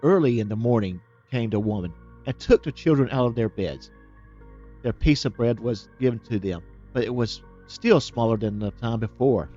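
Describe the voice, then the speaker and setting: A man reading a fairytale aloud as a storyteller, steady and plain, now and then restarting a word. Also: medium pitch, moderate pace, muffled recording, soft background music.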